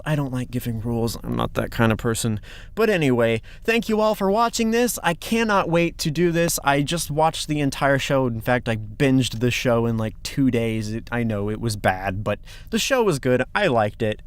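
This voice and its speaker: A man's voice: mid-range voice, clean tone. A man with an informal, chatty manner presenting a casting call announcement as the project's director, close on the microphone. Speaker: fan dub director, casting host. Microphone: close XLR microphone. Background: background music.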